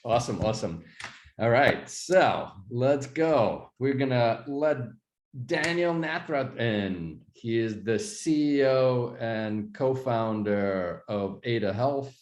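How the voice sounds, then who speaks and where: A man's voice: medium pitch, lively intonation, slow pace, roomy sound, a little hiss or hum. A man hosting a podcast interview over a video call, chatty and joking. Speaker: podcast host. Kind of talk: casual interview chat.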